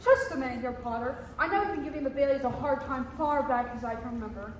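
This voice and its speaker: An actress playing a blunt, principled bank examiner with a firm, confrontational manner in a stage radio play. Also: female voice, high voice, fast talker, lightly acted. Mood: indignant. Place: high school theater stage. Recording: very echoey hall, faint noise.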